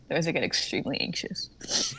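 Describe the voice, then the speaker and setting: A woman's voice: low-pitched, wildly swooping pitch, fast talker, a bit bright, husky, poor audio. A young woman chatting casually and teasingly as a co-host of a group stream. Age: young adult. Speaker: co-host of an online readers' stream.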